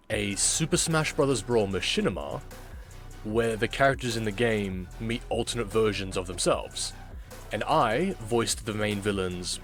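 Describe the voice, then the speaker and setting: Slow-talking man with lively, swooping pitch. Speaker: video host, amateur voice actor. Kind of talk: casual reaction commentary.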